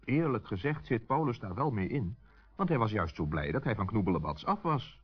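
Male storyteller, husky, animated melody, children's story narration, dull old recording. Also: fairly low pitch, fairly quick, faint music behind.

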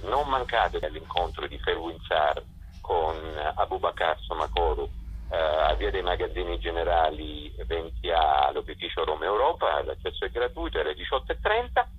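A festival director, a man, speaking in a radio phone interview and often pausing with fillers as he searches for words. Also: low voice, expressive, breathy edge. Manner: hesitant, with frequent fillers. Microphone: telephone. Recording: dull phone line.

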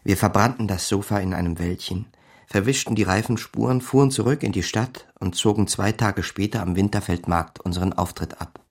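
An actor playing a wily small-time crook who narrates his own story in a radio crime play, with a gravelly voice. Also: on the low side, a little fast. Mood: wry, storytelling. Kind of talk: scripted first-person narration over music.

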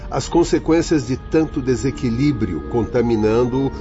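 An actor playing a stern, learned spirit instructor in a radio drama, speaking formally and declaiming over music. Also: male voice, medium pitch, moderate pace, close to an everyday voice. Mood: grave, explaining. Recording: dull lo-fi audio, faint music.

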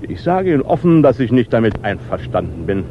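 A man acting a blustering, officious police inspector in an old radio crime play. His medium-pitched voice swings widely as he presses each accusation with smug emphasis. The recording is dull and dated. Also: very animated, fairly quick, slight background noise, close to an everyday voice. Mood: grumbling, officious. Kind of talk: 1960s German radio drama acting.

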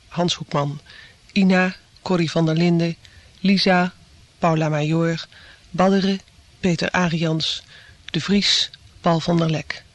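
Guy reading radio drama credits, fairly high voice, dry studio sound. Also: dry recording. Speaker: announcer.

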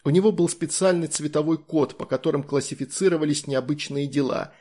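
A man's voice: fairly high pitch, brisk, mellow. A man narrating a scripted story as an audiobook reader.

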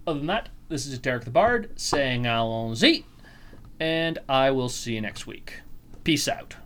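A man's voice: mid-range voice, lively intonation, measured pace, a bit bright. A young man hosting his own podcast, ranting sarcastically and swearing freely as he mocks a story. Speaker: podcast host. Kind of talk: solo podcast monologue.

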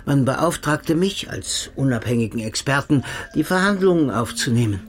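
A man's voice: mid-range voice, normal speed, natural voice. A man playing a smug, sinister secret agent. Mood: coldly mocking. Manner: calm, mocking, sinister, drops to hushed tones. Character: cold, smug male agent of a secret service, middle-aged. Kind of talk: radio play acting.

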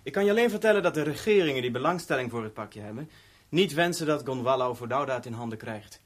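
Cast a man as a cool police agent from a seventies radio drama. His voice sits at an ordinary male pitch, and his delivery is dry, measured and official. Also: expressive, natural voice. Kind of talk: 1970s Dutch radio play acting.

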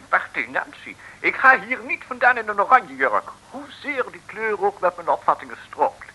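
Stuffy, affronted mayor for radio comedy: highish voice, piercingly sharp, formal and pompous. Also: male voice, very animated, moderate pace, breathy edge, full character voice, poor audio. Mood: indignant. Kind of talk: 1950s comic radio serial acting.

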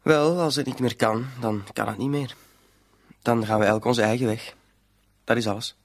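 An actor in a radio play, a man, playing a polite, greedy blackmailer with a calmly menacing manner. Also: mid-range voice, moderate pace, natural voice. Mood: coolly threatening. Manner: mock-courteous, calmly menacing. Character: smooth-talking, polite, greedy male blackmailer. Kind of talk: Dutch-language radio drama acting.

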